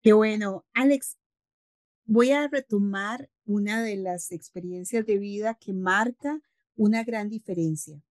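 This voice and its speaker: A woman's voice: mid-range voice, unhurried, darkish tone, dry recording. A middle-aged woman with a Costa Rican accent hosting an interview over a video call, effusive and formal. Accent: Costa Rican Spanish. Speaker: host.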